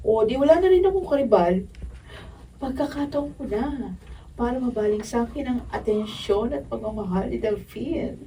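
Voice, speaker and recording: A very mellow, soft-edged voice of a lovestruck young woman, played by an actress, bold and coquettish with a pouty yearning. It is radio drama acting, recorded at a distance with some room noise. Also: fairly high pitch, animated, moderate pace. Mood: scheming, hopeful. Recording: muffled distant mic, noisy room.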